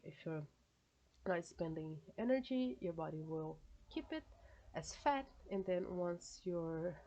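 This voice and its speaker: Brazilian woman with a gravelly, low voice. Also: dark tone, highs cut off. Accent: Brazilian Portuguese. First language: Portuguese. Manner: chatty, many fillers, self-correcting phrasing. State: stuffed nose from a cold. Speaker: reaction video creator. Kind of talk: casual solo commentary.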